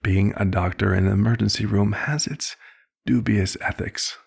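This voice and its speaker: A man playing an old, compassionate vampire doctor in an ASMR roleplay, with a husky, gravelly voice and a measured, slightly formal, paternal manner. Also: fairly low pitch, fairly even intonation. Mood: persuasive, reassuring.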